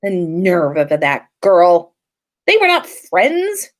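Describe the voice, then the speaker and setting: A woman reads a story aloud as a podcast host. Her delivery is slow and expressive, with pitch that moves around a great deal. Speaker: podcast host reading fan fiction.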